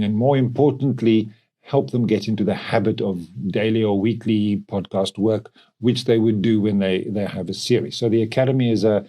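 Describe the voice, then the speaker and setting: A man's voice: fairly low pitch, mellow. A man from South Africa speaking conversationally as a company co-founder, explaining things expressively. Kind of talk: conversational interview.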